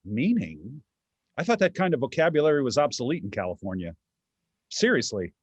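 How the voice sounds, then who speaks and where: A man acting a sardonic old-school father's ghost in a stage play. He needles and scolds with a dry, mocking edge, pitch swinging widely, in a close, dry sound. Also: very animated, fairly quick, slightly rough, no echo, lo-fi sound. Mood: scornful. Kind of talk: theatrical staged play reading.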